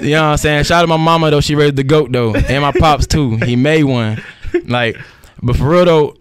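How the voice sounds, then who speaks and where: A young man from Cuba talks fast and loose as a guest in a radio studio. His voice is smooth and clear and the sound is dry and close. He leans on slang and keeps asking "you feel me.". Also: on the high side, dry recording. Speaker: guest rapper. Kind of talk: casual radio interview.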